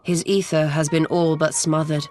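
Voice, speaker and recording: A woman voicing a wise, composed sorceress in a game cutscene, speaking in measured, solemn, archaic phrases. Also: deep voice, flat delivery, warm tone, faint music behind, close to an everyday voice. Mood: grave. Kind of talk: video game cutscene acting.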